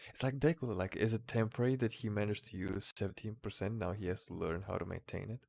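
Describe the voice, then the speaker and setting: A man's voice: fairly low pitch, steady tone, fast, vocal fry, darkish tone, no echo. A man co-hosting a casual discussion of anime, speaking informally and swearing now and then. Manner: informal, profane. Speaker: podcast co-host. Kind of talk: casual chat about anime.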